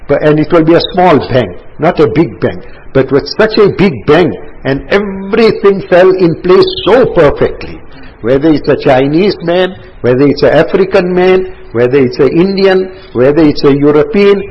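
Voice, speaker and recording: A man's voice: on the high side, slightly rough. A man in his sixties giving a religious talk to a seated gathering indoors, rambling and repetitive, full of anecdotes. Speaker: religious teacher. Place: indoor gathering room with carpet. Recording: dull lo-fi recording.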